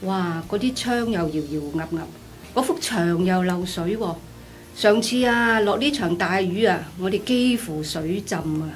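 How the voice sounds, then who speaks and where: A woman's voice: fairly low pitch, measured pace, lightly acted. A woman with a Hong Kong Cantonese accent playing a chatty, pleading orphanage director in a radio drama. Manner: exclamatory, persistent. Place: small padded radio studio. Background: music.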